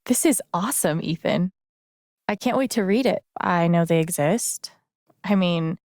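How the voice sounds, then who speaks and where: A woman's voice: on the low side, darkish tone, dry recording, studio quality. A woman voicing a friendly, wry young newcomer in a video game, talking in a casual, naturalistic, dryly joking way. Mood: warm, candid. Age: young adult.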